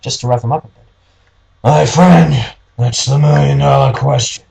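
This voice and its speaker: A man playing a cynical newspaper man in his forties, talking gruff, slangy tough talk in a noir radio play. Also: medium pitch, normal speed, darkish tone. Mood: conspiratorial.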